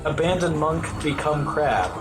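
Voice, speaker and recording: Man commentating over loud game music. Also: a little high, animated, measured pace. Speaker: speedrunner commentating on own gameplay. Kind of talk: casual live speedrun commentary. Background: video game music.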